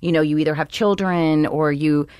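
A woman comedian speaks with a low, husky voice today. She chats in an animated, playful way and often slips into impressions. The recording is close and dry, with no room sound. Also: moderate pace, no echo. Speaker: comedian co-host. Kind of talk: casual chat. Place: podcast studio. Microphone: studio microphone.

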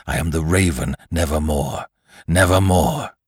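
Man playing a deep-voiced raving dying man, slow, dry sound, storybook reading. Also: husky, no room sound, heavily acted. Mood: delirious. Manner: raving, rising to a wild scream.

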